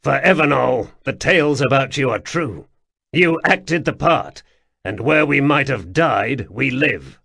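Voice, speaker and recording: A man's voice: medium pitch, lively intonation, a little slow, gravelly, bright, forceful tone, slightly rough, pitched above the performer's own voice, heavily acted. A man reading a blunt, reassuring companion.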